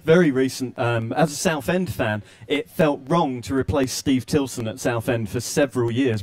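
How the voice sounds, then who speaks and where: A man's voice: mid-range voice, darkish tone, no echo. Wry man hosting a stage panel. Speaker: panel host. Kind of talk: hosting a live panel discussion. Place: stage before a live audience. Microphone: handheld microphone.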